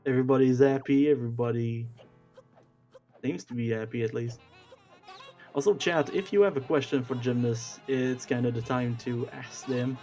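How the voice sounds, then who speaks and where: A man's voice: medium pitch, steady tone, moderate pace, dark tone, faint music behind. Quebec-accented male commentator, chatty and giggly, stammery. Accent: Quebec French. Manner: chatty, laughs often, stammers on starts. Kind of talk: casual stream commentary.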